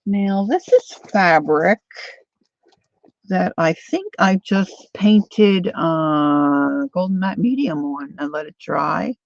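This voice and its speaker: An older woman chatting casually to viewers while she crafts, thinking out loud as she works. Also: lowish voice, expressive, slow pace, vocal fry, no room sound. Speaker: craft livestreamer. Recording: dull lo-fi dry sound.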